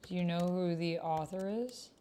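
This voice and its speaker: A woman's voice: fairly low pitch, normal speed, dark tone. A woman hosting a casual livestream, chatting with viewers and half to herself as she reads out typed text. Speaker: livestream host and role-player. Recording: clearly noisy, keyboard clatter. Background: computer keyboard typing.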